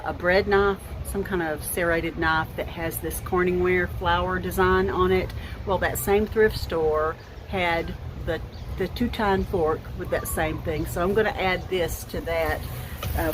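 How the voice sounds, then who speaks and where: A woman's voice: lowish voice, background noise. Chatty woman in her fifties. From United States. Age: 50s. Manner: rambling, chatty, frequent restarts. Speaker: thrift haul vlogger. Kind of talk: casual chat showing thrift finds. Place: at home.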